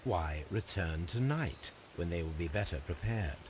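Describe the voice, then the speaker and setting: An actor playing a shrewd middle-aged Victorian detective in a video game, speaking formal British English in a measured, assured way. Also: male voice, low-pitched, expressive, a little mellow, slight background noise. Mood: composed, deductive. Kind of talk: scripted video game acting.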